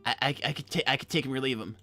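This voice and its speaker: Bright-voiced, flustered young hedgehog guy played by a man. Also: medium pitch, very fast, soft background music. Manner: stammering, rambling, backtracking. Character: awkward, eager teenage male hedgehog, flustered and rambling. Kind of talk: improvised in-character livestream role-play.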